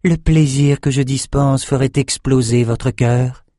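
A man's voice: mid-range voice, fairly even intonation, unhurried, warm tone, no echo, clean studio sound, pitched above the performer's own voice, lightly acted. A man voicing a haughty sorceress. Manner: cool, disdainful. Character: proud, haughty female sorceress.

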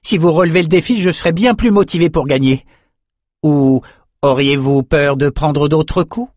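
A man's voice: high-pitched, little pitch movement, fairly quick, darkish tone, husky, no echo, studio quality, voice pushed up. Guy doing an earnest young sword fighter, urgent and impassioned, voice way up, audiobook fantasy. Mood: defiant. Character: brave, earnest young swordsman, defiant yet gentle.

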